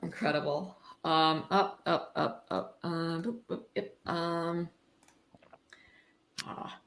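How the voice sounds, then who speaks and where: A woman's voice: fairly low pitch, steady tone, takes it slowly, a bit bright. A woman hosting a casual commentary, reading aloud and breaking off for sarcastic, mock-approving asides. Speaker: host. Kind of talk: casual live commentary with a read-aloud book. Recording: echoey room.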